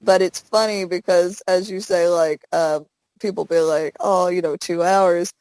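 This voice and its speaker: A woman's voice: on the low side, fairly even intonation, measured pace, darkish tone, no echo, low-quality recording. Chatty young woman, very dry sound. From American South. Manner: chatty, rambling with many fillers. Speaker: Twitch streamer, guest. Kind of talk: casual interview chat. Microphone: headset.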